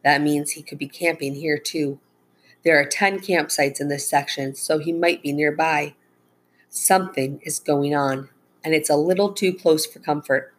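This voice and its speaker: A woman's voice: sits low, fairly even intonation, normal speed. A woman voicing a resolute, earnest, protective father in a children's storybook. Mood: concerned, planning.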